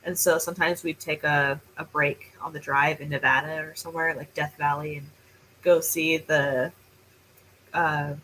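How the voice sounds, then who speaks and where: A woman's voice: deep voice, flat delivery, normal speed, fairly bright tone, poor audio. A young adult woman with a North American accent, chatting casually as a guest on a live stream. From California, United States. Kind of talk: casual chat. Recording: clear background noise.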